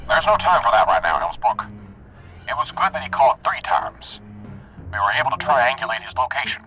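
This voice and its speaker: A man's voice: mid-range voice, big pitch swings, fairly quick, over music, natural voice, poor audio. A man playing an earnest police sergeant in a radio drama, businesslike and urgent.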